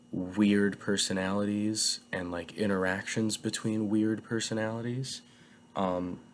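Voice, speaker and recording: A man's voice: low voice, slight background noise. A young man casually reviewing a book, getting over a cold, talking in a hesitant, rambling way full of filler words.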